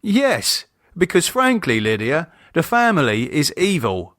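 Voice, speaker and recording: A man's voice: a little high, wildly swooping pitch, measured pace, fairly bright tone, dry recording, studio quality, voice pushed up, heavily acted. A man voicing a sullen, sardonic English brother in an audiobook, sceptical in manner. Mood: incredulous, appalled. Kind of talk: audiobook character acting.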